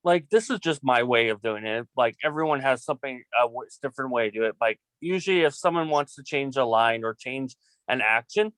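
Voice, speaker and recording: Guy film student just chatting in a group meeting, average pitch, super dry sound. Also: animated, fairly quick, fairly bright tone, dry recording. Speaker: student filmmaker in an online class meeting. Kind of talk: casual group discussion.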